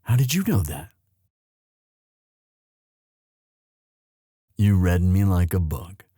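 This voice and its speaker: A man playing a lovestruck young prince in an intimate audio roleplay, tender and protective, with a halting, emotive delivery. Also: sits low, very animated, warm tone, slightly rough, no echo, clean studio sound, heavily acted. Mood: calm, affectionate. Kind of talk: boyfriend ASMR audio roleplay drama.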